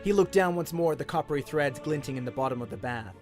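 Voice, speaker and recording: A man's voice: on the high side, lively intonation, fast talker, over music. A man with an Australian accent giving a scripted, dramatic narration of a story. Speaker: audiobook narrator.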